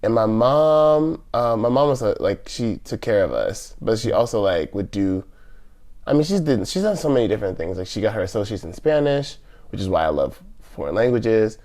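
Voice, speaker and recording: A man's voice: lowish voice, sing-song, normal speed, darkish tone. A man in his thirties from California, a podcast guest talking casually and hesitantly, with many restarts and trailing phrases. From California, USA. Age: 30s. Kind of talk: casual interview conversation.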